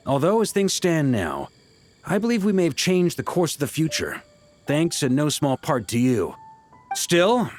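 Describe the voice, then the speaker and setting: A seasoned knight commander from a video game, played by a male actor, easygoing and wry. His mid-pitched delivery moves with plenty of variation. Music plays underneath. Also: natural voice. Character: seasoned, easygoing knight grandmaster home from expedition. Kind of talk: video game character dialogue.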